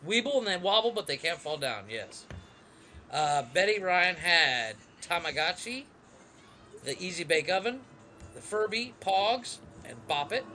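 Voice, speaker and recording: A man with a fairly high, bright voice and an easygoing manner as he co-hosts a live-stream podcast. He reads lists aloud over a computer mic, with a faint hiss behind him. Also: big pitch swings, unhurried. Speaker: podcast co-host. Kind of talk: casual live-stream chat.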